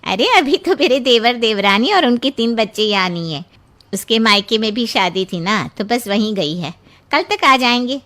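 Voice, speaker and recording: A woman voicing a brisk, matter-of-fact, bossy in-law from a big household in a Hindi cartoon story. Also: on the high side, lively intonation, a bit bright.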